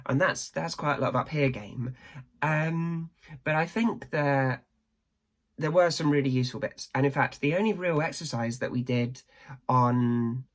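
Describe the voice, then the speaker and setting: A young woman with a slightly croaky voice, chatting casually and rambling to camera. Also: extremely low pitch, unhurried. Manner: chatty, rambling, self-deprecating. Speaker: vlogger.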